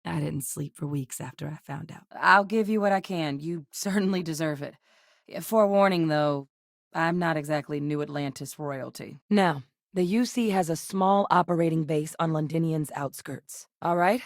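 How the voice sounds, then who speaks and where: Low-voiced, composed military scientist. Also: female voice, no echo, clean studio sound. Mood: subdued, sincere. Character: earnest, composed female military scientist and commander. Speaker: voice actress. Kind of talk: video game voice acting.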